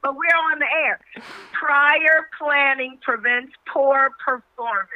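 A woman's voice: high-pitched, takes it slowly, dry recording, phone-quality sound. A woman chatting casually as a guest on a radio show.

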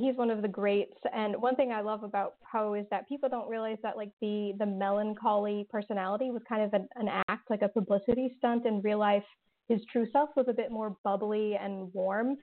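A woman's voice: mid-range voice, fairly even intonation, brisk. A woman podcast creator chatting casually in an interview, peppering her talk with "like" and "um.". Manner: chatty, many "like" and "um" fillers, sarcastic humor. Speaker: podcast creator and writer, guest. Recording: tinny phone-like line.

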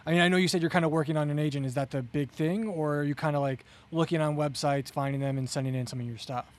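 A man's voice: on the high side, fairly even intonation, quick pace. A man hosting a casual interview, asking questions in an upbeat, encouraging and conversational manner. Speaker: podcast host, interviewer.

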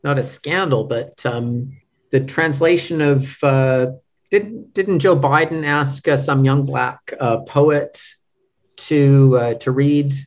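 Muffled lo-fi recording, male podcast guest, mid-pitched voice, halting, casual discussion. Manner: hesitant, groping for words, checking with "right?". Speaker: podcast guest, literary translator. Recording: dull, band-limited lo-fi audio.

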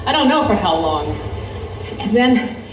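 A woman's voice: medium pitch, sing-song, measured pace, slight background noise. A woman playing an intrepid Victorian reporter, telling a horror adventure as dramatic scripted narration. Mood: tense recounting. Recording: echoey, distant, music underneath.

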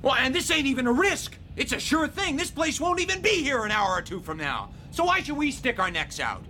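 An actor in a radio drama playing a cynical, self-serving veteran smuggler pilot with a gruff, colloquial way of talking. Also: male voice, extremely high pitch, very animated, fairly quick, pushed and bright, a little hiss or hum, full character voice. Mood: cocky, irritated.

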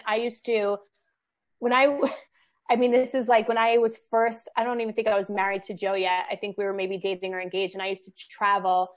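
A woman talking casually on a podcast, rambling through her story with frequent restarts. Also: on the high side. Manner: rambling, restarts often, self-deprecating. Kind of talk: casual chat.

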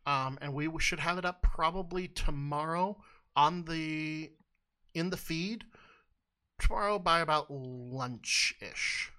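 A man co-hosting a casual comedy podcast, giving absurd explanations in a deadpan, mock-serious way. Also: fairly high pitch, slow pace. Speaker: podcast co-host.

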